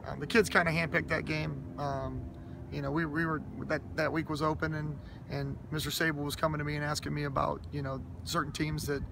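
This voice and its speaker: Gravelly-voiced coach talking outdoors. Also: male voice, on the high side, little pitch movement, a little fast. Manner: earnest, humble, many fillers and restarts. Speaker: high school football head coach. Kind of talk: casual interview answers. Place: outdoors by a school stadium. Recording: outdoor noise and passing traffic.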